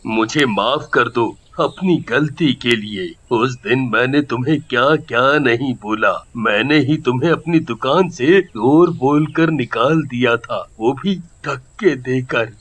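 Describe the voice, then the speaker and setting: A man playing a grieving, remorseful shopkeeper in a moral story cartoon, speaking in a heavy, measured, sorrowful way. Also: mid-range voice, a bit bright, pitched below the performer's own voice. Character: remorseful, grieving male shopkeeper, grateful boss.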